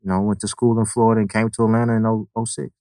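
A man from Connecticut in a relaxed group conversation, talking loosely and repeating words. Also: on the low side, very fast, no echo. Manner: laid-back, repeats words, lots of "you know what I mean". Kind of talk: casual chat.

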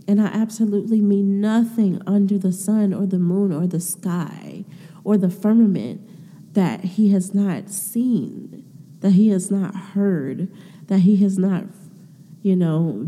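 Slow, stuffy-nosed woman podcaster. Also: on the low side, mellow, long reverb tail. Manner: rambling, many filler words. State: stuffy nose, sniffling. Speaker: podcast host. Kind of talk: casual faith talk monologue. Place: her room.

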